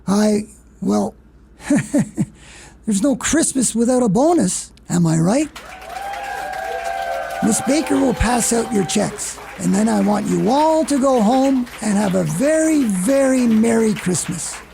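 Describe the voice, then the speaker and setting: A man playing a genial, sentimental older shop owner with a gravelly voice and halting, hearty delivery in a radio play. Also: sits high, expressive, mellow, a faint crowd, a little hiss or hum, full character voice. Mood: moved, grateful. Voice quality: gravelly, creaky. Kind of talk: old-time radio theatre acting.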